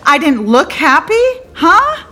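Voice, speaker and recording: An actress as a slow, bitter, disillusioned woman lawyer. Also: sits high, wildly swooping pitch, sharp tone, heavily acted. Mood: bitterly incredulous. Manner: halting, confiding, wry, with abrupt swings. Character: bitter, disillusioned woman lawyer confiding about her firm. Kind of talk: theatrical monologue.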